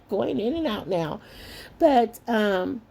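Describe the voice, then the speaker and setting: A middle-aged woman hosting a craft channel, narrating a tutorial in a chatty way with a slightly hoarse voice and calling her viewers lovies. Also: fairly high pitch, sing-song, extremely slow. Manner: warm, chatty, calls viewers lovies and y'all.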